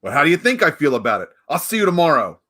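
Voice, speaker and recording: Guy playing exasperated combative prosecutor, old radio play, kinda high pitched, very animated and emphatic. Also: very fast, background noise. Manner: indignant, emphatic. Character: exasperated, combative male prosecutor, family man. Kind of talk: 1940s radio play acting.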